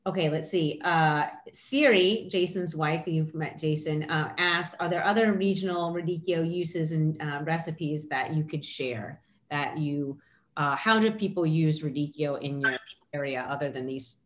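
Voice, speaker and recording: A middle-aged woman with a somewhat low voice hosts a relaxed chat over a video call. She talks in a chatty, animated way, piling up asides and quoted remarks. The sound is webcam audio from a room at home. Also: unhurried. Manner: chatty, animated, gestures while talking. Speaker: host.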